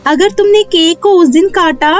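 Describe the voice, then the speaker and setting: A woman voicing a kind, regal fairy queen in a children's story, speaking in a gentle, instructive manner. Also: extremely high pitch, moderate pace, dull audio, pitched above the performer's own voice. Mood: kindly instructing. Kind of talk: children's moral story voice acting.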